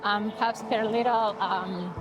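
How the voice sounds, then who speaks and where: A woman, an interviewee who talks in a halting way, with many fillers and restarts. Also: mid-range voice, steady tone, music in the background, rough recording. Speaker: interviewee, psychotherapist and art therapist. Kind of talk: casual interview talk.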